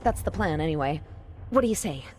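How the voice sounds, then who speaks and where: A woman's voice: low voice, lively intonation, a little fast, a little mellow, slight background noise. A woman playing a quirky, chatty young resistance mage in a comic fantasy game, flippant and casual with sarcastic asides. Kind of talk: comic game briefing dialogue.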